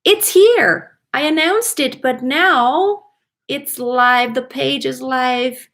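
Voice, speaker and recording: Female livestream host, native German speaker, singing teacher, highish voice, chatty and enthusiastic, speaks straight to the audience. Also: takes it slowly, gravelly, a bit bright. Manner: chatty, enthusiastic, addresses viewers directly. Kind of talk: casual livestream talk to viewers.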